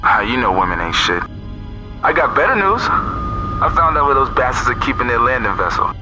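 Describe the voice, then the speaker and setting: A man's voice: mid-range voice, sing-song, moderate pace, natural voice. An actor playing a wheedling male eavesdropper and stranded hacker in a video game cutscene, chummy, crude and insinuating. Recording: music behind the voice.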